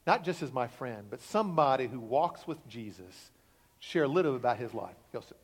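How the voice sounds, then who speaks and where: A man's voice: sing-song, moderate pace, in a large hall. A man preaching as pastor to his congregation, impassioned and building toward a climax before introducing a guest. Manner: impassioned preaching, climbing in pitch and volume. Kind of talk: sermon. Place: church sanctuary.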